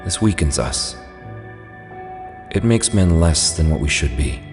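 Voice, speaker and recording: Very deep, solemn comic-book scientist played by a man. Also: lively intonation, measured pace, heavily acted. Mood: solemn, earnest. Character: grave, resolute scientist man of a superhero comic. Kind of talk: dramatic comic dub voice acting. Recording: long hall reverb under loud music.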